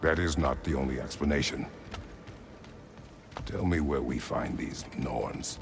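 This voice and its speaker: An extremely deep, gravelly warrior god for a game drama. He is stern and weary, biting off terse commands. Ambient noise sits clearly behind him. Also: measured pace, full character voice. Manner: terse, clipped, gruff. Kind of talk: video game drama acting.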